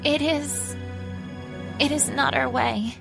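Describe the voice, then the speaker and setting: Woman acting a gentle young cartoon pony princess, high voice, creaky edges, stammering and apologetic, music behind. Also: steady tone, slow pace, heavily acted. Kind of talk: fan fiction audio drama.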